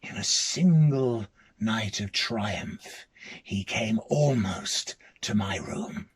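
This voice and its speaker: A man giving a slow, halting dramatic first-person reading of a story, with a husky voice. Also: fairly low pitch, animated. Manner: hesitant, halting, repeating words.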